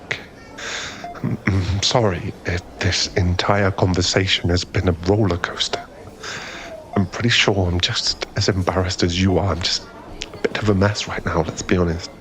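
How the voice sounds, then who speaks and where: A man playing a flustered, smitten young man in an ASMR boyfriend roleplay, halting and stammering as he rambles nervously to a crush. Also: deep voice, steady tone, fairly bright tone, slight background noise, rough recording. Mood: embarrassed, grateful.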